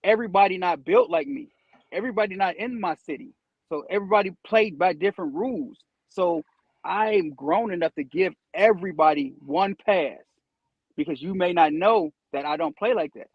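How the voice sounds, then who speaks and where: Guest, young adult male, husky and high-pitched, laid-back, explains at length, dry dull sound. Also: moderate pace, no room sound. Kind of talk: casual conversation.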